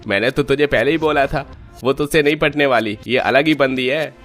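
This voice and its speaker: A man's voice: mid-range voice, normal speed, a bit bright, soft background music, natural voice. A man voicing a teasing young college friend in a cartoon story, slangy and jeering. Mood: mocking. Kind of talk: Hindi cartoon story voice acting.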